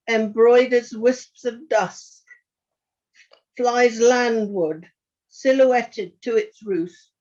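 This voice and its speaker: Woman reading poetry really slowly, highish voice, muffled lofi sound, lingering phrases. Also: darkish tone. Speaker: poet reading a poem. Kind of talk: poetry recitation. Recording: dull lo-fi sound.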